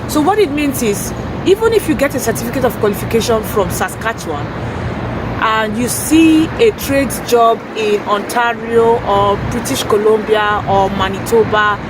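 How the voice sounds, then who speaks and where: A woman vlogging outdoors on a city street, hoarse from a sore throat, explaining things earnestly with fillers and restarts. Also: on the high side, lively intonation, moderate pace. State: hoarse, losing her voice. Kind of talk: casual informational vlog. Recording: noisy street recording, distant from mic. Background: traffic and urban ambience.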